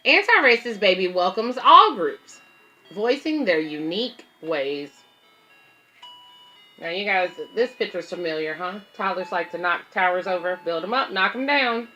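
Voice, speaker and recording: Woman babysitter doing storytime, bright voice, explains stuff simply, music in the background. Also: mid-range voice, expressive, unhurried, poor audio. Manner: explains simply, addressing young children and parents. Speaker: babysitter and children's story reader. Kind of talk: read-aloud picture book with casual asides to viewers.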